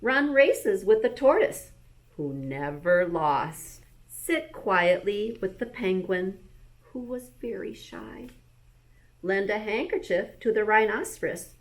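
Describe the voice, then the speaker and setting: Female storyteller, school-librarian type, mid-pitched, very slow and expressive read-aloud for small children. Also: rough recording.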